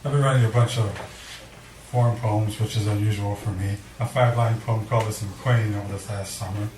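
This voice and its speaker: A man's voice: lowish voice, fairly even intonation, far from the mic, background noise. Man reading poetry in an echoey room. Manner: measured, deliberate delivery with dramatic pauses. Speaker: poet reading at a poetry event. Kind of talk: live poetry reading with casual introductions. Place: indoor cafe venue before a live audience. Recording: echoey room recorded from afar, audience murmur.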